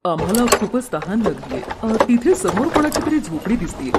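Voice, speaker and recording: A man's voice: high-pitched, big pitch swings, moderate pace, very noisy. A man playing an arrogant, lustful king in a storybook tale, imperious and theatrical. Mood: thirsty, searching. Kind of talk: storybook character acting.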